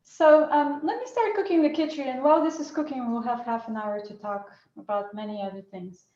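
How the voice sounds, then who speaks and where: A woman's voice: a little high, fairly quick, warm tone. A woman teaching Ayurvedic cooking over a video call, explaining patiently and often restarting her phrases. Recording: roomy and muffled video call audio.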